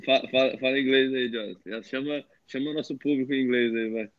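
A man in his thirties co-hosting a casual video-call chat, teasing the others in quick joking banter. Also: medium pitch, fairly even intonation, no echo. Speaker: podcast co-host. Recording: dull lo-fi video call audio.

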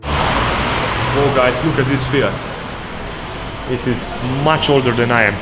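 A young man from Slovenia, talking excitedly to the camera in a casual travel vlog, partly while driving. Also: medium pitch, slow, over loud music. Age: young adult. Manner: enthusiastic, talks straight to camera. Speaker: vlogger. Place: outdoors in city streets and inside a car. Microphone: handheld camera, in-car camera. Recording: loud street and car noise. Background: traffic and engine noise.

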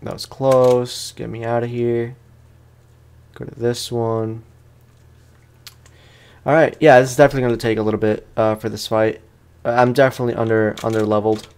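A man's voice: mid-range voice, flat delivery, normal speed, long pauses, a little mellow, smooth. Man, slangy casual gaming commentary. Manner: slangy, talks to himself and to the game enemy. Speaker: gaming host, let's play streamer.

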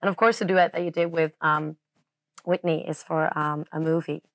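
A woman's voice: low voice, fairly even intonation, moderate pace, no echo. A young woman interviewing a guest in a studio, asking friendly, casual questions. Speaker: TV host, interviewer. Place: indoor studio set.

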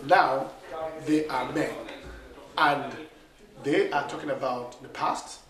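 A man's voice: mid-range voice, slow pace, distant mic, a crowd in the background. A man in his forties with a cold, talking nasally and animatedly straight to the camera on a small theatre stage. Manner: animated storyteller, gestures with his hands. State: has a cold. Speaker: playwright and director. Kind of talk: direct-to-camera talk about his play. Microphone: camera mic from a few metres. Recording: echoey room, voice from off-mic.

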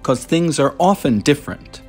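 An American man in his thirties hosting a children's read-aloud show, speaking to young viewers in clear, simple phrases as he presents and reads from a script. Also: a little high, lively intonation, moderate pace, darkish tone, roomy sound, faint music behind. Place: small furnished room indoors.

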